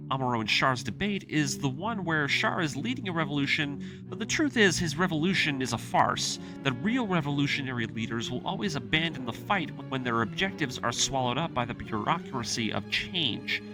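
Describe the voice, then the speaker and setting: A man narrating a scripted story recap with personal commentary, in an emphatic, enthusiastic fan manner. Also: on the high side. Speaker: anime video essayist and host. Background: music.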